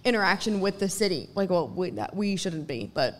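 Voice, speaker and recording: A woman's voice: fairly low pitch, fairly quick. A woman co-hosting a live podcast before an audience, telling stories with animation. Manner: animated storytelling. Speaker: radio co-host.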